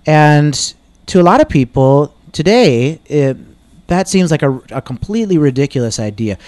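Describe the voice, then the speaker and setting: A man hosting a conversational interview, posing rhetorical questions in a halting manner. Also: a little high, very animated, darkish tone. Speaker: talk show host. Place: inside a gun store.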